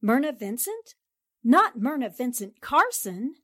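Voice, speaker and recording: An actress playing a polite, inquisitive newspaper reporter in the style of a 1940s radio drama. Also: female voice, high voice, big pitch swings, unhurried, a bit bright, no echo, clean studio sound, full character voice. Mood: curious. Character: quick-witted woman newspaper reporter.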